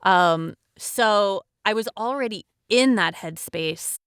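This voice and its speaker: Woman, cast panel guest. Conversational, clean resonant tone, medium pitch, close dry recording. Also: takes it slowly, a bit bright, clean tone, dry recording. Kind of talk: casual chat.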